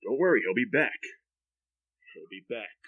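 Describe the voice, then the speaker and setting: A man's voice: mid-range voice, expressive, moderate pace, phone-quality sound, close to an everyday voice. A man playing an irritable, scolding middle-aged man obsessed with his plants, in 1940s radio drama style. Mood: blustering, exasperated. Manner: scolding, impatient, sputtering.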